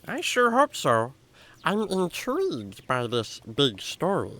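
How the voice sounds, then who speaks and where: Excitable animal sidekick, children's audio drama: eager, bright, very animated. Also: medium pitch, slow, exaggerated voice. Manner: gushing, eager, wide-eyed. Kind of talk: kids' radio drama acting.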